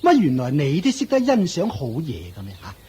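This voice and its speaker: An actor playing a mocking, contemptuous shopkeeper uncle in a Hong Kong Cantonese radio drama, drawling out sneering taunts. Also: male voice, a little high, huge pitch swings, fairly quick, dark tone, a little hiss or hum. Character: mocking, contemptuous shopkeeper uncle scolding his poor nephew.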